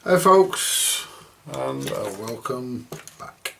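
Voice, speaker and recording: A man giving casual, hands-on workshop commentary as host of a repair video, chatty and halting as he thinks aloud. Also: medium pitch, animated, gravelly, noisy background.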